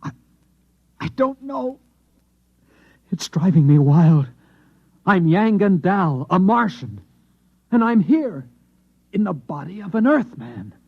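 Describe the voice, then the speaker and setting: An actor in an old-time radio drama playing a frightened man who insists he is a Martian, agitated, halting and pleading. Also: high-pitched, sing-song, husky, full character voice. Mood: agitated, desperate. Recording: dull, lo-fi old radio recording.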